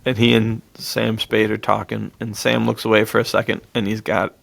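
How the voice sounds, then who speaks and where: A man's voice: lowish voice, level tone, normal speed, vocal fry, a bit bright. A man co-hosting a podcast, retelling film plots in a casual, enthusiastic and slangy way. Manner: enthusiastic, chuckles as he talks, slangy. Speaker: podcast co-host.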